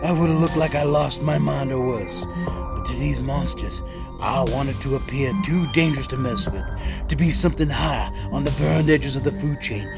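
Man acting a gravelly, desperate survivor father. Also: mid-range voice, normal speed, loud music behind the voice, lightly acted. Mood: defiant, tense. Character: desperate, protective father surviving a post-apocalyptic wildfire. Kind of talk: first-person dramatic audio drama narration.

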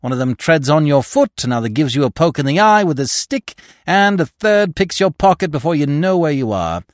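Man acting a brisk, grumbling police inspector, lively pitch. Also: no echo, studio quality. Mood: impatient, irritated. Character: bustling, irritable male police inspector, secretly a swindler. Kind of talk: audiobook character voice.

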